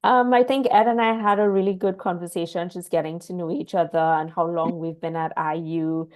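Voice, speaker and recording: A woman with a lowish voice reports to her class over a video call. She speaks in a friendly way, with a few fillers as she goes. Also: steady tone, a little fast, darkish tone. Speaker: graduate student.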